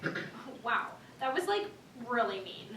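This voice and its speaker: A woman's voice: a little high, big pitch swings, unhurried. A woman acting an unimpressed, blunt young woman in a staged reading of a cartoon script. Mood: disapproving. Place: live staged reading in a room. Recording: distant echoey room with noise, clicks.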